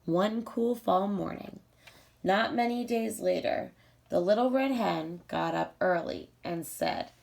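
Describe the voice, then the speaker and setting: A woman reading a children's storybook aloud in a deliberate, emphatic, teacherly storytelling style. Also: mid-range voice, expressive, gravelly, darkish tone, poor audio.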